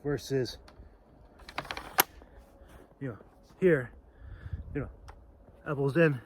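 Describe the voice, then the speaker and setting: A man hosting a casual, off-the-cuff demonstration video, talking in a halting, rambling way with phrases that trail off. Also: medium pitch, noisy background. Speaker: video host demonstrating sword carry.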